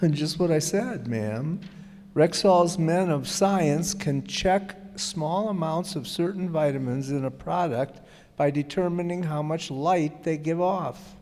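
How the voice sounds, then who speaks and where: A man's voice: a little high, slow, darkish tone. A man playing a reassuring family druggist in a scripted old-time radio advertisement, explanatory, folksy and courteous. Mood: earnest, explanatory. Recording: reverberant hall stage recording.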